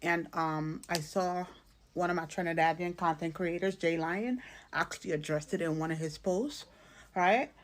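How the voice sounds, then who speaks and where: A woman's voice: lowish voice, moderate pace. A woman with a Caribbean accent giving a casual, emphatic, explanatory opinion talk, checking in with the listener as she goes.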